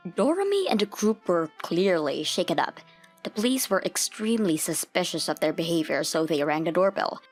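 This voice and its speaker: Low-pitched woman narrating a story. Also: very animated, normal speed, soft background music. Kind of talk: scripted story narration for a manga dub.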